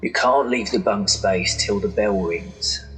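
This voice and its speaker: An actor playing a gruff but sympathetic workhouse inmate in a radio drama, scolding in colloquial speech. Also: male voice, on the low side, unhurried, a bit bright, soft background music, low-quality recording. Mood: hushed scolding.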